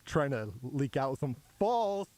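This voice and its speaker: A radio co-host, a man with a mid-pitched voice and a dry, deadpan delivery. He slips quick quips into loose on-air banter from behind a studio microphone.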